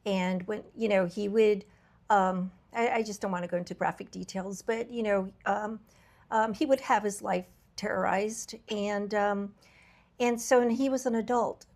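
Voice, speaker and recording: A woman hosting a podcast, her voice a little raspy from travel, talking in an earnest, reflective way with frequent fillers and restarts. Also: medium pitch, steady tone, moderate pace, a little mellow. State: slightly raspy voice from travel fatigue. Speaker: podcast host. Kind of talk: candid podcast conversation.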